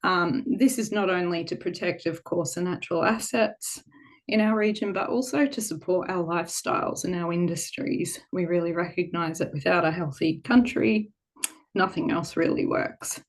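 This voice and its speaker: A woman co-hosting an online webinar over a video call, her voice a little croaky from a head cold, speaking informally with frequent ums. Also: fairly low pitch, normal speed. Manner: warm, frequent ums, relaxed informal phrasing. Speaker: webinar co-host.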